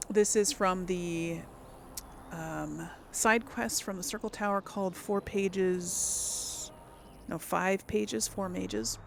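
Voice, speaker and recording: Slow-paced woman with a creaky voice, chatting. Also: fairly low pitch, fairly even intonation, a little hiss or hum. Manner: chatty, rambling, thinking aloud. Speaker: gaming video host. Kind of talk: casual let's play commentary.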